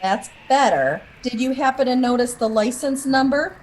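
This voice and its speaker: A woman's voice: sits high, moderate pace, a little hiss or hum, lightly acted. A woman playing a shrewd, no-nonsense police officer in an audio drama, speaking firmly and directly. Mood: stern, probing. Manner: firm, direct questioning.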